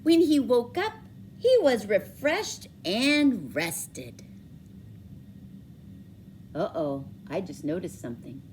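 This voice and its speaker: A woman reading a children's story aloud expressively, with dramatic emphasis and drawn-out words. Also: mid-range voice, sing-song, a little mellow, slight background noise. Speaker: storybook reader for schoolchildren.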